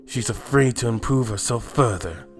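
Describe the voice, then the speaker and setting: A man's voice: medium pitch, moderate pace, faint music behind, close to an everyday voice. A man playing a proud, blunt alien warrior in a fan-made anime drama, challenging with pointed questions. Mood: insistent.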